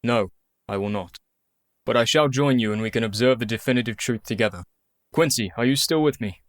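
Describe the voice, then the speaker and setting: A man's voice: mid-range voice, a little fast, dry recording, clean studio sound, close to an everyday voice. Weary, skeptical doctor in mourning acted by a man. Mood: defiant, skeptical. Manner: formal, weary, stubborn. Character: grieving, skeptical male doctor running a sanitarium. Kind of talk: radio play acting.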